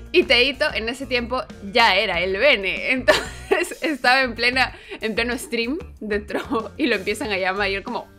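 A woman's voice: a little high, lively intonation, unhurried, bright, forceful tone, faint music behind. A young woman co-hosting a channel, telling a story in a casual, conversational way. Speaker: co-host of a channel.

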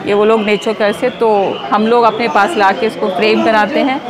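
A middle-aged woman explaining her handmade products at an exhibition stall, unhurried, mixing Hindi with English. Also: mid-range voice, fairly even intonation. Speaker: startup owner showing products. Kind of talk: casual product walkthrough at a stall. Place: indoor exhibition hall stall. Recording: busy expo crowd behind.

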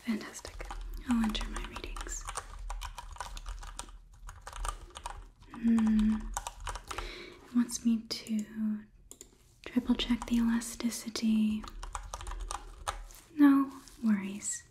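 A young woman playing a polite, condescending robot overlord in a soft, breathy whispered ASMR roleplay, clinical and curious. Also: on the high side, fairly even intonation, slow, long pauses. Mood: clinical, focused. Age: 20s. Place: small indoor studio set. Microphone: close ASMR microphone.

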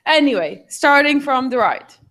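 A woman facilitating a workshop session, directing attendees with deliberate instructions on a stage in a large conference hall. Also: sits high, animated, a bit bright.

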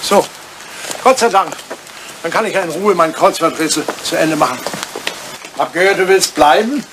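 A man's voice: on the high side, moderate pace, background noise, close to an everyday voice. An older man acting a grumpy, self-centred old English lord in a German radio play, querulous and easily flustered. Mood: self-absorbed. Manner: querulous, hesitant, blustering.

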